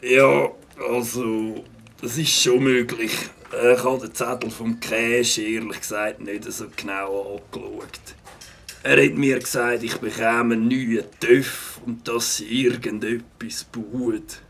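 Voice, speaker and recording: A careless, folksy biker from a children's audio play, acted by a man. His voice is gravelly and slow, hesitant in delivery, with faint noise on the recording. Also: mid-range voice, steady tone, fairly bright tone. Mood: sheepish. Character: sheepish, careless biker bar owner, proud of his motorbike.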